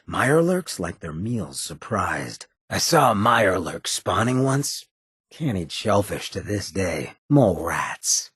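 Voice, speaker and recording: Cocky ghoul rogue, video game character, American accent, heavily rasped, lowish pitch, streetwise drawl. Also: male voice, sing-song, takes it slowly, a bit bright, no room sound, clean studio sound, full character voice. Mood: dry, cocky. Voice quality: raspy, gravelly. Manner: cocky, streetwise drawl with clipped slangy phrasing. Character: roguish, swaggering ghoul leader, irradiated zombie-like man.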